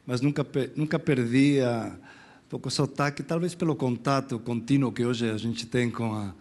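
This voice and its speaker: Middle-aged male lecturer, Spanish accent, easygoing and self-mocking, rambling conference talk. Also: medium pitch. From Spain. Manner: relaxed, self-deprecating, rambling with restarts.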